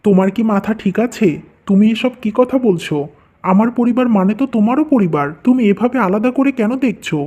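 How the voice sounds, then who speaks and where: A man's voice: high voice, fairly quick, dark tone, dull audio. A man voicing a dutiful, earnest young husband in a cartoon who is devoted to his family. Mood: indignant.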